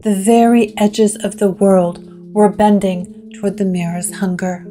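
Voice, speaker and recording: Slow, measured woman narrating gothic horror. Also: mid-range voice, fairly even intonation, dark tone, faint music behind. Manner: measured, dramatic pauses.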